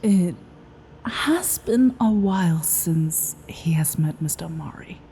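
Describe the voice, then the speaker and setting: A woman's voice: fairly low pitch, lively intonation, slow pace, mellow, slight background noise. An actress playing a curious young woman who talks in a chatty, reassuring way.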